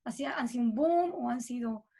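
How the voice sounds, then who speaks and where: A woman's voice: fairly high pitch, moderate pace, warm tone. A woman with a Bolivian accent speaking informally and reflectively as a composer being interviewed.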